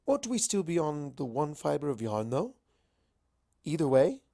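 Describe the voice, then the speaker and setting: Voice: mid-range voice, big pitch swings, moderate pace, creaky, warm tone. A narrator voicing a refined, theatrical young female unicorn pony with prim, emphatic delivery in a storybook reading. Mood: curious.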